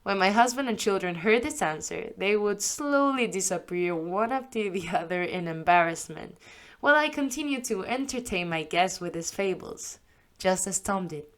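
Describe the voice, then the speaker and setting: A woman voice actress reads a first-person memoir audiobook in scripted narration. Her storytelling is warm and conversational, with lively asides. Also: mid-range voice, normal speed.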